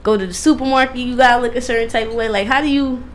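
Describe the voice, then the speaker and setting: A woman's voice: fairly high pitch, steady tone, very fast, a little hiss or hum. A woman radio DJ chatting casually and emphatically in slang as she interviews a guest, often repeating phrases for emphasis.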